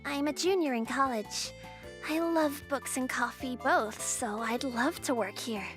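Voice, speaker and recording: A polite, earnest college student from a romantic comedy cartoon played by a woman. She speaks in a high, young voice, with light music underneath. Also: unhurried, a bit bright. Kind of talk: dubbed romantic comedy manga acting.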